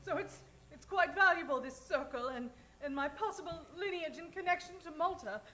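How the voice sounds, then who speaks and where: A woman's voice: very high voice, lively intonation, fairly quick, pushed and bright, exaggerated voice. A woman playing a flustered damsel client in an improvised old-time radio detective parody, hesitant, breathless and melodramatic. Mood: dawning wonder.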